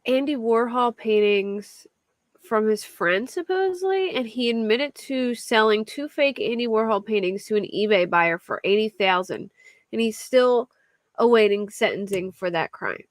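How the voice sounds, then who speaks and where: A woman's voice: a little high, animated, a little slow, dry recording, clean studio sound. A young woman with a Philadelphia accent, casually reading out a crime story and chatting with her livestream viewers. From Philadelphia area. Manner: chatty, reads a story aloud while answering chat, laughs often. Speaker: true crime livestream host.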